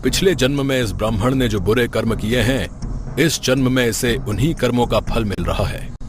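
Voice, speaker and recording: A man's voice: medium pitch, normal speed, close to an everyday voice. A man playing a stern supernatural keeper of karma in a cartoon tale, solemn and full of warning. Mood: ominous. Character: stern, ominous male spirit, keeper of karma. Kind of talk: Hindi moral cartoon story acting.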